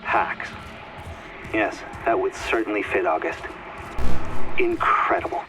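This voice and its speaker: An actor playing a learned, curious scholar of ancient ruins, with precise formal phrasing, in a video game. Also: male voice, fairly low pitch, slow, recorded from a distance, over music. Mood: fascinated. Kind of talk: video game cutscene acting.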